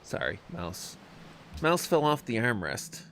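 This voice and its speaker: A man's voice: mid-range voice, very animated, slow, a bit bright, slight background noise. A man streaming a strategy game, chatting casually with viewers, hesitant and self-deprecating, with many fillers. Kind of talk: casual live-stream commentary. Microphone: desk microphone, no camera.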